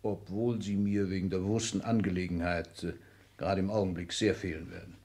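A low voice of an imperious older aristocrat with a military bent. He is commanding and condescending, firing off abrupt sentences in 1960s German radio drama style. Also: flat delivery, fairly quick, a little mellow. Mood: coaxing. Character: imperious older aristocratic Excellency, restless military-minded patriarch.

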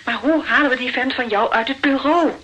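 An actress playing a cool, chiding accomplice in a radio drama, with a brisk manner. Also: female voice, high voice, a bit bright, highs cut off, a little hiss or hum.

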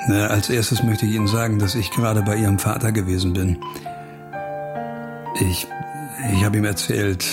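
An actor playing a hard-boiled doctor turned investigator in a German crime radio drama, ruthless yet remorseful. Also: male voice, lowish voice. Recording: music bed under dialogue. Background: music.

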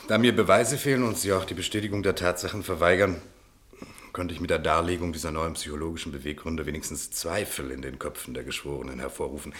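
A deep-voiced, persistent defence lawyer. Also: male voice, fairly even intonation, quick pace, a bit bright. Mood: reasoned, earnest. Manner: formal, probing, persuasive. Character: persistent, argumentative male defence lawyer pleading his case. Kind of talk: German radio play acting.

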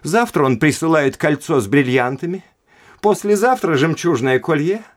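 An actor in an audio drama playing a haughty, indignant aristocratic official who speaks in an emphatic, scornful and domineering way. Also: male voice, fairly high pitch, lively intonation, normal speed. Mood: agitated, scornful. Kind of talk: Russian audio drama acting.

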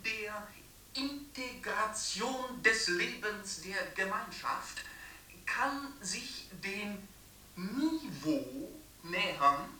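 A woman's voice: mid-range voice, expressive, drawn-out words, sharp tone, heavily acted. A woman narrating a scientific text in declamatory style for a radio play. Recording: distant, echoey, faint hiss.

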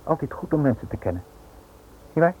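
A man acting a smooth, menacing Italian financier in a radio play, polite, insinuating and pressing. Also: fairly high pitch, sing-song, a little fast. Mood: insinuating politeness. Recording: tinny, noisy old radio play recording.

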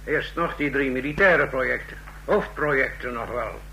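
A sober male army general in a radio drama. He sounds crisp and forceful, yet cautious and sceptical. Faint hum lies on the old recording. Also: medium pitch, normal speed, poor audio. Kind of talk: Dutch radio play acting.

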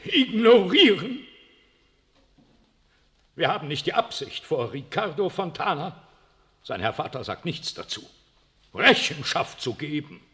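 Aging pope, imperious, lofty royal we, wildly swinging pitch, long reverb, 1960s radio play. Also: male voice, sing-song, measured pace, long pauses, pushed and bright, heavily acted. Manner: lofty, cold, uses royal we. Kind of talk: 1960s radio drama acting. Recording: long reverb of a large room.

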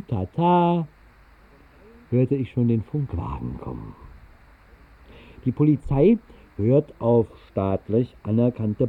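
An actor playing a tipsy, whimsical night wanderer in a 1960s radio drama monologue, speaking in a halting, theatrical, mock-pompous way. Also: male voice, medium pitch. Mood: tipsy, mischievous. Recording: muffled narrow-band 1960s radio recording.

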